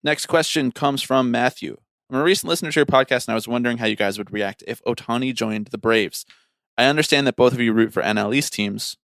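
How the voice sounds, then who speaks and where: A man's voice: medium pitch, fast talker, creaky, fairly bright tone, dry recording. A man co-hosting a podcast in casual sports chat, blunt and opinionated, prone to swearing. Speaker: podcast co-host.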